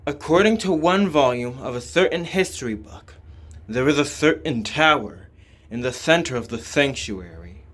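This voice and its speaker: A man's voice: mid-range voice, animated, moderate pace, a bit bright, slight background noise. A man reading translated game dialogue and narration aloud as a scripted playthrough. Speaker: reader voicing a game playthrough.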